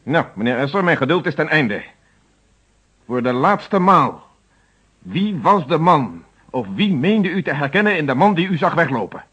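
An actor playing a stern, probing police inspector in a radio play, questioning in a formal, insistent and authoritative way. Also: male voice, on the high side, sing-song, normal speed, fairly bright tone, breathy edge, exaggerated voice. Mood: stern, pressing. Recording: dull, fuzzy old radio recording.

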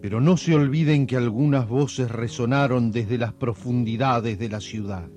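A man playing the wry, suggestive storyteller of a radio serial, with theatrical, measured scripted narration. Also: medium pitch, a little mellow, soft background music, natural voice. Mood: wry, dramatic.

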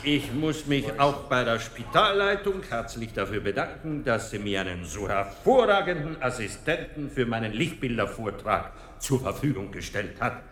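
An actor playing a genial, self-assured Viennese doctor who lectures courteously and theatrically with dry wit in a radio drama. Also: male voice, mid-range voice, sing-song, normal speed, hardly any pauses, background noise, natural voice. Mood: wry, formal. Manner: courteous, theatrical, emphatic lecturing with repeated phrases. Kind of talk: German radio drama acting. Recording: echoey lecture hall reverb.

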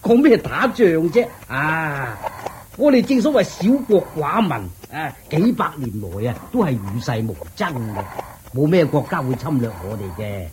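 A man's voice: a little high, sing-song, moderate pace, background noise. A man with a Hong Kong Cantonese accent playing a thrifty, sly royal chancellor in a radio drama, coaxing and explaining in a placating way. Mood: placating, dismissive. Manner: chuckling, coaxing, explaining patiently.

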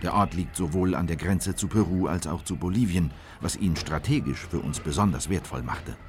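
Radio drama part for an actor: Bolivian man recalling secret work, deep, earnest, faint ambience behind. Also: normal speed, a little hiss or hum, natural voice. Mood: earnest, measured. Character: Bolivian man recounting a clandestine political operation. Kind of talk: scripted radio drama narration.

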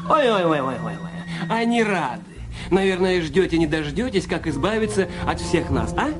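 An actor in an audio drama playing a nosy, chummy studio publicist with a teasing, chattering manner. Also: male voice, high voice, animated, measured pace, over music. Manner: teasing, chattering, hesitant filler sounds. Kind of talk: radio play acting.

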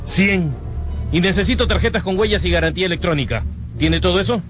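A man's voice: sits high, fairly quick, natural voice. A man playing a curt, suspicious, mercenary motel keeper in a radio drama, with music behind him. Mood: curt, businesslike. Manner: curt, distrustful, challenging questions.

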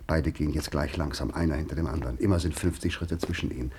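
A man narrating a literary radio play in measured, deliberate short phrases. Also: a bass voice, darkish tone, slightly rough.

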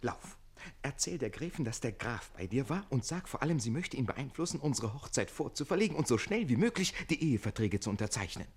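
An actor playing a quick-witted, scheming young servant and bridegroom in a German radio play, animated and eager. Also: male voice, mid-range voice, slightly rough. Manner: urgent, animated.

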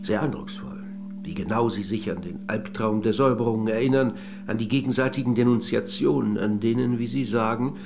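An actor playing a polite but probing middle-aged interviewer in a radio play, with measured, insistent questioning. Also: male voice, on the low side, creaky, music in the background. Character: courteous but probing male interviewer of an old lady.

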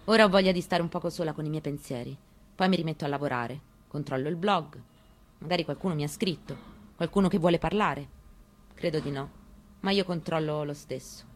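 A woman's voice: low-pitched, fairly quick, slight background noise, lightly acted. An actress playing an elderly mother grieving her murdered son in an intimate, reflective radio theatre monologue, in short phrases. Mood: lonely, sad.

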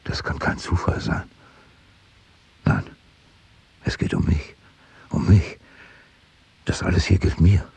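An actor playing a tormented, sleepless prosecutor in a radio drama, murmuring halting, repetitive thoughts near delirium. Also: male voice, sits low, very animated, creaky, a little mellow, breathy edge, heavily acted. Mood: paranoid, agitated. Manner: halting, repetitive, often whispering. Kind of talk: radio drama inner monologue. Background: music.

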